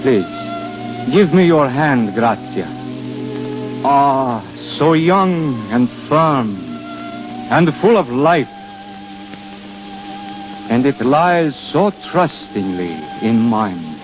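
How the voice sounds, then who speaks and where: An actor playing Death disguised as a courtly, wondering prince in halting, deliberate 1930s radio drama style. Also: male voice, mid-range voice, lively intonation. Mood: solemn wonder. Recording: muffled 1930s radio broadcast, music behind.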